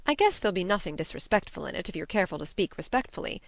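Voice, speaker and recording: A woman playing a stern, practical older spinster guardian in an audiobook, brisk, plain-spoken and disapproving. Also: mid-range voice, very animated, dry recording. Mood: grim, firm. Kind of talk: audiobook character reading.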